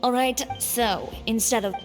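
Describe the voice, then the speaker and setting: A woman's voice: a little high, measured pace, in a large hall, over music, lightly acted. An actress playing a confident, frank young woman in a video game, breezy and ready to take charge. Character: confident, outspoken young female friend, breezy and brisk. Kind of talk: video game character acting.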